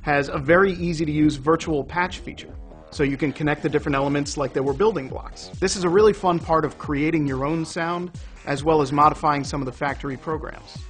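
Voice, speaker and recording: A man's voice: fairly high pitch, a little fast. A man presenting a product in a conversational, explanatory, semi-scripted way. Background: synthesizer music.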